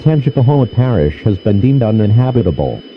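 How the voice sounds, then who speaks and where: A man's voice: fairly low pitch, big pitch swings, fairly quick. A man's stiff, synthetic voice reading a formal scripted emergency announcement over a lo-fi, noisy radio broadcast. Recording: dull lo-fi radio with clear noise.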